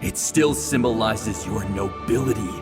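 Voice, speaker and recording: Slow, echoing low-voiced noble spirit played by a man. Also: lightly acted. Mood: solemn, reflective. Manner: solemn, measured, declamatory. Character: ancient heroic male spirit passing on his name, solemn, noble. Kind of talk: dramatic scripted game acting. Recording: long reverberant hall, music behind. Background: music.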